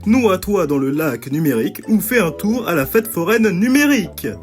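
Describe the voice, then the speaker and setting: A manic cartoon ringmaster, played by an actor, with swooping, highly animated pitch. The delivery is grand and theatrical, like a showman presenting attractions, with music underneath. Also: moderate pace, lightly acted. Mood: showy, enthusiastic. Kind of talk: animated series fan dub. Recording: music behind the voice.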